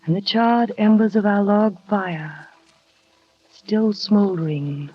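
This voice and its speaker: An actress in a 1940s radio drama playing a shy nineteen-year-old companion, soft-spoken and wistful, narrating her memories. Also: medium pitch, fairly even intonation, slow pace. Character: shy young woman of nineteen, paid companion. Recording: dull old radio recording with music.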